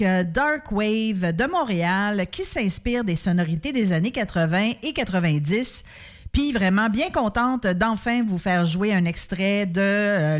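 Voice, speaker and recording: Québécois woman radio DJ, mid-pitched voice, casual and halting delivery on a studio mic. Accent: Québécois French. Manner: chatty, informal, hesitant with many pauses. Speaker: radio host. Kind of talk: radio music show hosting.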